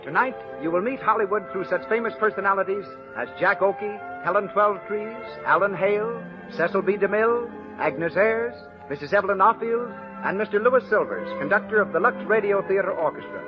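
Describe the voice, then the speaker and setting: A man's voice: high voice, a little fast, hardly any pauses. An American man announcing in a formal, polished 1930s radio style and reading sponsor messages as a showman would. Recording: dull 1930s radio recording, music behind.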